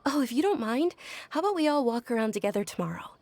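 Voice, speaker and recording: Gentle young noblewoman, high creaky voice. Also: animated, quick pace. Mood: eager. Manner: polite, soft-spoken, considerate. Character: gentle, gracious young noblewoman, frail but eager. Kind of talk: anime game voice acting. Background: background music.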